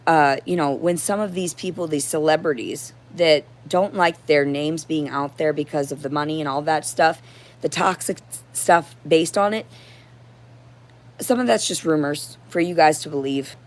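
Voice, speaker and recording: A woman with a hoarse voice giving a rambling, unscripted livestream monologue in a confrontational, profane and disjointed manner. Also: sits low, moderate pace. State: hoarse throat. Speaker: livestream host.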